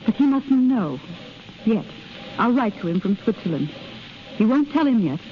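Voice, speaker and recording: A woman's voice: on the high side, sing-song, normal speed. Earnest young woman, dull 1930s radio. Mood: earnest, pleading. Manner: earnest, emotional. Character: tender, resolute young expectant mother running away. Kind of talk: 1930s radio drama acting.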